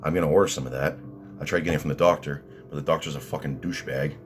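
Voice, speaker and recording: A man with a deep voice, ranting at his critics in rambling, combative commentary full of restarts and fillers. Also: steady tone, rapid-fire, soft background music. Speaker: vlogger answering critics.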